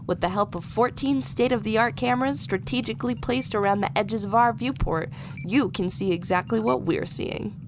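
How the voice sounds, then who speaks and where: Woman acting a high-voiced, confident space scientist. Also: moderate pace, background noise, close to an everyday voice. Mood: proud, teasing. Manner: upbeat, assured. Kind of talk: audio drama acting.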